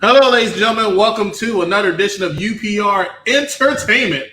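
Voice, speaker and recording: A man with a high, bright voice hosting a casual review show. He presents like a teasing announcer. The recording is roomy and echoey. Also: fast talker. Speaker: podcast host. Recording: roomy echo with faint noise.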